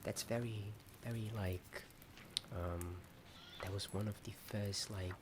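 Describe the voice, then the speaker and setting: Low whispering man, noisy ambience behind. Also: steady tone, slow. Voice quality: soft whispering, often unvoiced. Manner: hesitant, rambling, self-deprecating. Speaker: ASMR video host. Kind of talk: whispered ASMR chat. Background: added ambience sound.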